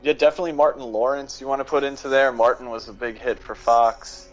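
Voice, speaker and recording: A man's voice: mid-range voice, fairly even intonation, fast talker, soft background music. A man speaking as a podcast guest in a casual, analytical discussion style. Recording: dull lo-fi mic sound.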